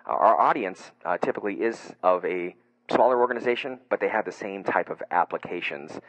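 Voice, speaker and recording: A man's voice: low voice, brisk, fairly bright tone, no echo, poor audio. A middle-aged man hosting a tech webcast, talking in a friendly conversational way and asking long framing questions with plenty of fillers. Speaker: webcast host.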